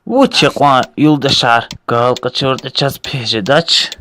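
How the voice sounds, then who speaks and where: A performer voicing a lively cartoon character who talks to friends in an animated, exclaiming way. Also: mid-range voice, takes it slowly, a little hiss or hum. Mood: chatty.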